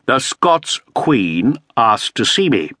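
A man's voice: mid-range voice, big pitch swings, slow, sharp tone, dry recording, clean studio sound, pitched above the performer's own voice, full character voice. A man voicing a put-upon, aging Elizabethan earl who speaks in a plaintive, complaining way. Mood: aggrieved.

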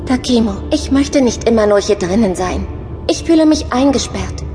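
A lonely female ship's computer, voiced by a woman in a radio drama, speaking in a soft, earnest, searching way with a fairly high voice. Music plays under her lines. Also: expressive, moderate pace, lightly acted. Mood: yearning. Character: female onboard computer, lonely, longing for a body. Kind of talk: audio drama acting.

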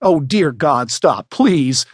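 A man's voice: a little high, animated, takes it slowly, breathy edge, no echo, studio quality, full character voice. A man voicing a weary, wry grown man who sounds exasperated and mutters his replies.

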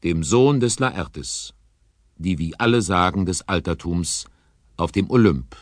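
A man narrating a scripted audio drama trailer in a measured storytelling tone. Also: deep voice, dry recording, clean studio sound.

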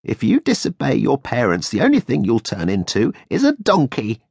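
A man's voice: mid-range voice, wildly swooping pitch, moderate pace, gravelly, darkish tone, breathy edge, dry recording, studio quality, voice pushed up, full character voice. A man voicing a small, centuries-old male cricket who scolds and lectures. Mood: scolding. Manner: scolding, preachy.

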